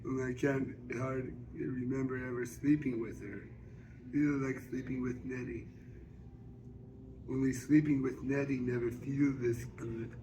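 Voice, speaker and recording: A man reading a first-person novel aloud as an amateur narrator, halting, with stumbles and repeated words. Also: mid-range voice, fairly even intonation, dark tone, poor audio. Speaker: amateur audiobook reader. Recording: faint background noise.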